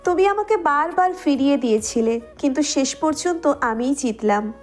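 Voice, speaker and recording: A woman's voice: high voice, animated, moderate pace, faint music behind. A woman voicing an impassioned, reproachful young bride in love in a romantic audio drama. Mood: proud, triumphant.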